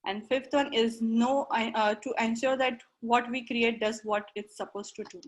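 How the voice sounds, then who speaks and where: A woman presenting on software testing at a coding school graduation, going through her points one by one in order. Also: fairly high pitch, steady tone, unhurried.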